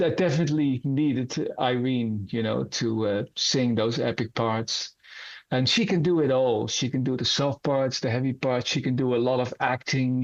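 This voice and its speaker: Earnest male musician, dull lo-fi sound. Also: medium pitch. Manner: earnest, animated storyteller. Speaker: musician guest. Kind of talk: casual interview answers. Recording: dull lo-fi audio.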